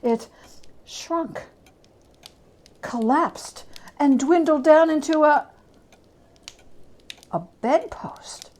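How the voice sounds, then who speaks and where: Woman, high pitch, slow pace, theatrical storytelling, long pauses, dramatic reading. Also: a little mellow.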